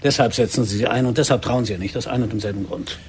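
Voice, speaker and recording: An actor in a German radio drama playing a curt, analytical intelligence agent who explains things with firm certainty. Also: male voice, mid-range voice, racing, close to an everyday voice. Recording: faint background ambience noise.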